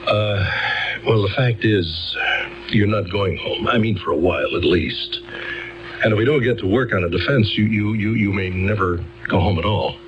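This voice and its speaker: An actor playing an earnest, exasperated male defense lawyer in a radio drama, courteous but halting and stammering. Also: fairly low pitch, highs cut off, background noise, natural voice. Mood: flustered, coaxing. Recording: band-limited old radio drama recording.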